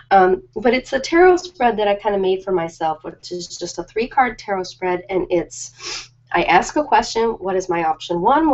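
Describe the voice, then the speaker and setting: A woman's voice: medium pitch, a little mellow. A woman chatting casually and informally on a live stream, ad-libbing in a rambling, self-deprecating way. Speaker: live-stream host of ASMR and ritual videos. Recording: slightly dull lo-fi live-stream audio.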